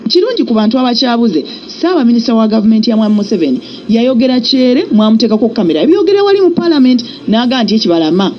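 A man's voice: high-pitched, muffled recording. A Ugandan man making an emphatic political speech in a broadcast clip.

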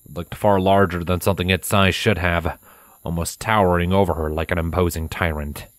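A man with a deep voice reads fiction as a narrator. His delivery is scripted and dramatic, recorded dry and close. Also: fairly even intonation, a little fast, no room sound.

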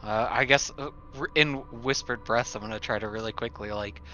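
A man playing a tabletop role-playing game, speaking casually in a hesitant, halting way. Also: fairly low pitch, sharp tone, dull audio. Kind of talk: casual improvised role-play.

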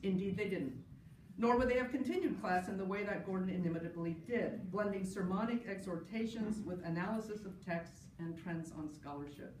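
A middle-aged woman delivers a wry, anecdotal tribute at an academic conference. She is picked up from a distance in an echoing room, with clear noise behind her. Her talk moves between prepared notes and amused asides. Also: mid-range voice, moderate pace, a little mellow. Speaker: panel speaker, New Testament scholar. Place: large indoor conference session room. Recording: roomy, distant mic, clear background noise.

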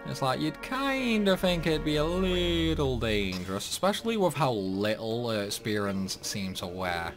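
Slow, rambling man doing game commentary. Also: medium pitch, big pitch swings. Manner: rambling, grumbling asides. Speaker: gaming video host. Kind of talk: casual game playthrough commentary. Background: game music.

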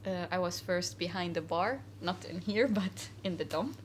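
A woman's voice: lowish voice, normal speed, a little hiss or hum. A young woman from Syria, a guest in a casual interview, talking earnestly with many fillers and restarts in a cafe. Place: cafe, indoors.

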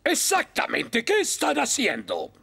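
A man's voice: very high voice, huge pitch swings, measured pace, vocal fry, pushed and bright, breathy edge, heavily acted. A man with a Latin American Spanish accent voicing a stern, commanding older fire officer in a cartoon. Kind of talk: animated cartoon dub.

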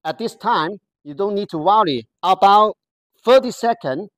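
A presenter gives a product walkthrough in careful, halting, non-native English, pausing often to find words. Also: medium pitch, animated, no echo, clean studio sound. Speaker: product demonstrator.

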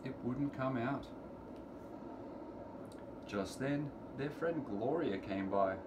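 A middle-aged man with a medium-pitched voice reads a picture book aloud for small children. His phrasing is deliberate and sing-song. The home recording has clear background noise. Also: animated. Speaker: children's story reader. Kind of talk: read-aloud picture book storytelling for preschoolers. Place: indoors in a room at home. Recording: clearly noisy home recording, room ambience.

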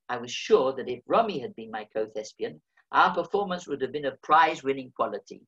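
A man's voice: a little high, a bit bright, muffled recording. A man, reading his own memoir aloud over a video call with dry, wry humor. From England. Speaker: memoir reader.

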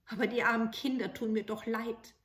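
A woman voicing a poor, soft-hearted father in a fairy tale, troubled and pleading. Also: a little high, level tone, moderate pace, natural voice. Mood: distressed. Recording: roomy home recording.

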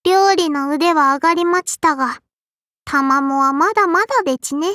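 A woman's voice: high voice, normal speed, bright, forceful tone, no room sound, clean studio sound. A woman voicing a small, strict and prim sparrow-spirit girl in an anime game, with a childlike lisp and polite but scolding speech. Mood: prim, critical. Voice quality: childlike, lisping. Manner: polite but scolding, quirky verbal tic. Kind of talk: anime game character voice lines.

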